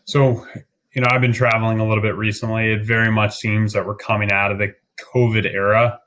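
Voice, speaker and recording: A man's voice: on the low side, level tone, brisk, fairly bright tone, slight background noise. Man, podcast host, conversational interview style, fairly level intonation.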